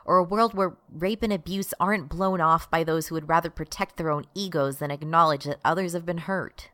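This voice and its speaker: Low female voice, calm, reasonable, admonishing, radio drama acting, dry recording. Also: fairly quick, no room sound, natural voice. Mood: earnest. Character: calm, reasonable woman, patiently admonishing and conciliatory.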